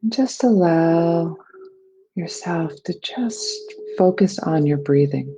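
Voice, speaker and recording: A person leading a guided meditation in a soothing, unhurried manner. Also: mid-range voice, lively intonation, dark tone, rough recording. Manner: soothing, unhurried phrasing with breathy releases.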